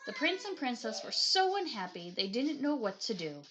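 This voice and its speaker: A woman narrating a children's picture book aloud in an expressive storytelling style. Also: on the high side, normal speed, background noise. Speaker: picture book reader, teacher.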